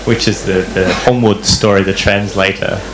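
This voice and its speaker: A man from the audience, an academic, asking a long, winding question that grows animated. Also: on the low side, moderate pace, reverberant, a crowd in the background, poor audio. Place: club event room.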